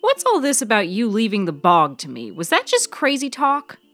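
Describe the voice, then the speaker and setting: A woman's voice: fairly high pitch, huge pitch swings, moderate pace, fairly bright tone, soft background music, pitched above the performer's own voice, exaggerated voice. A woman voicing an anxious, argumentative college-age young woman in a story, questioning and protesting. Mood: exasperated.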